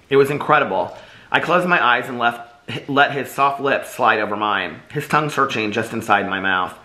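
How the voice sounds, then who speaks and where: A man's voice: medium pitch, sharp tone. A man who is slightly losing his voice chats casually, then reads his own novel aloud in an unpolished way with small restarts. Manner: chatty, friendly intro, unpolished read-through with small restarts.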